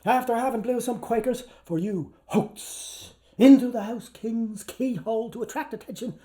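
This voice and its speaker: A man with an Irish accent giving an animated, declamatory stage reading of a novel, playful with the wordplay and shifting between hushed and loud delivery. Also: extremely high pitch, moderate pace. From Ireland or Canada. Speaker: actor performing a literary reading. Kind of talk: theatrical live reading of a novel.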